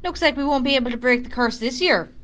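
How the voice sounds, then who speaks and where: A woman's voice: high voice, fast, a bit bright. A woman with an Irish accent playing a resilient member of a rural community in an amateur radio drama. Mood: resigned, hopeful.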